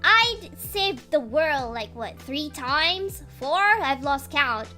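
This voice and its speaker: A woman's voice: high voice, takes it slowly, faint music behind, exaggerated voice. Woman reading as a sassy teen demigod boy. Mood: wry. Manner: small excitable voice with sass. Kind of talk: reading a novel aloud.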